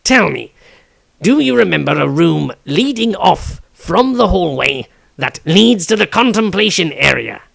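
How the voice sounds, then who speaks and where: A man's voice: sits high, very animated, a little slow, creaky, pitched above the performer's own voice, heavily acted. A man reading a story aloud, voicing a smug, arrogant male halfling thief who talks sharply. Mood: snappish. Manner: sharp, snappish.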